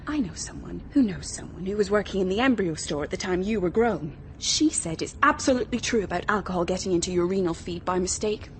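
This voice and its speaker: A woman's voice: on the low side, animated, quick pace, few pauses, a little hiss or hum, lightly acted. An actress in a radio drama playing a sly, accusing woman with a pointed, taunting manner.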